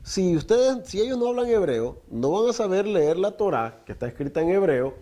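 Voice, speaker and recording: Male preacher, middle-aged, native Spanish speaker, earnest teaching tone, mellow timbre. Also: high-pitched, very animated, moderate pace. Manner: preaching with rhetorical questions, often addresses listeners as brother. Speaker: teacher of a Torah portion. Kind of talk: Spanish-language Torah teaching.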